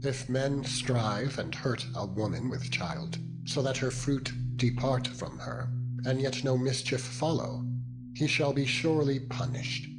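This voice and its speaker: A man narrating scripture in a dramatized style, solemn and deliberate with measured phrasing. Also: mid-range voice, rough recording. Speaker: Bible narrator. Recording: background music under the voice. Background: music.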